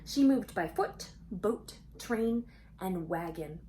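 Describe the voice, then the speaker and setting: A woman reading a children's storybook aloud expressively, pausing for conversational asides and questions to young listeners. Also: mid-range voice, lively intonation, mellow, slight background noise.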